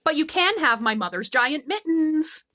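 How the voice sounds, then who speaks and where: A woman's voice: high-pitched, lively intonation, normal speed, pushed and bright, faint music behind, pitched above the performer's own voice. A woman voicing a crude, tough robber girl who swears and makes threats with her knife. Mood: tough, teasing.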